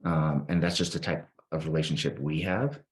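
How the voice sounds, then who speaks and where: A man hosting a conversational podcast interview as a police officer, speaking in a measured, hesitant way. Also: rumbling low, fairly even intonation.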